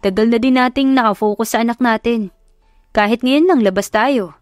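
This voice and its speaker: A woman's voice: a little high, moderate pace, no room sound, faint music behind, natural voice. Young husband, playful and sly, read by a woman narrator, dry close sound. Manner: easygoing, teasing.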